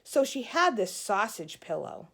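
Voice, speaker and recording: A woman's voice: medium pitch, sing-song, measured pace, a bit bright, heavily acted. A bossy young girl from a children's storybook, acted out by a woman reading aloud. She is moody and exasperated with everyone, snapping out her words with dramatic emphasis and taking her time over them. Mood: explaining, emphatic.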